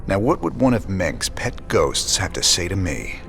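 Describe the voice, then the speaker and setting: An actor playing a wary, gruff rebel space commander in a video game, speaking in a laconic, folksy drawl. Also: male voice, low-pitched, big pitch swings, normal speed, a bit bright, soft background music, full character voice. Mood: suspicious. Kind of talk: video game cutscene acting.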